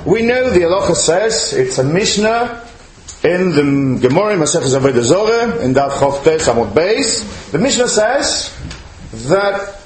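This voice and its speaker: An echoey room with faint noise surrounds a male rabbi giving a religious lecture. His voice sits a little high and swings widely in pitch. He teaches emphatically, posing questions as he goes. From outside Chile, now living there. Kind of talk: religious lecture to a live audience.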